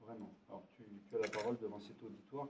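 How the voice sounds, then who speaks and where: A man's voice: fairly low pitch, very fast, background noise. A man acting as mayor, introducing local volunteers to a gathering with frequent hesitations and friendly jokes. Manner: hesitant, repeats words, jovial. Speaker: mayor hosting a town meeting. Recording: echoey room, distant from mic, dull sound.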